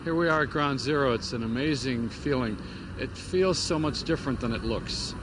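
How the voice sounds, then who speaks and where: A man's voice: medium pitch, moderate pace. A man giving reflective radio commentary, recorded outdoors in a city. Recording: noisy outdoor city location with traffic hum.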